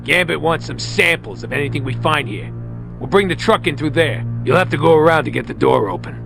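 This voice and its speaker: An actor playing a bossy gang henchman in a video game, giving orders in a brisk, commanding way. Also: on the high side, creaky. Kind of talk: video game mission dialogue. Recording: loud music under the voice.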